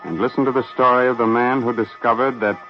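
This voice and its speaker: A man's voice: medium pitch, steady tone, quick pace. A man hosting and narrating a 1940s radio horror show in a dramatic, sardonic, theatrical manner. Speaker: radio mystery host and narrator. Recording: muffled 1940s radio recording. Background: music.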